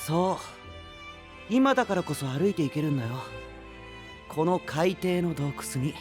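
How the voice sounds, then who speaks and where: A man's voice: a little high, expressive, music in the background, natural voice. A man playing a composed, analytical young scholar in an anime-style audio drama, reasoning things out with confidence. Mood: confident. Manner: measured, reasoning explanations.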